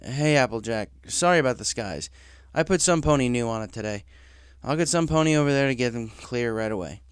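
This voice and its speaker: A man voicing a gruff, businesslike male pony supervisor in a story reading. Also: mid-range voice, animated, brisk, no room sound, clean studio sound, close to an everyday voice. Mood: apologetic, puzzled.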